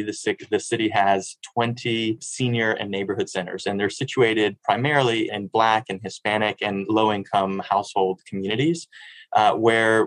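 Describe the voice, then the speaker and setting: A man's voice: on the low side, no room sound, poor audio. A man in his thirties from Miami, a city sustainability director answering interview questions in an earnest, fluent way with small restarts. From Miami, Florida.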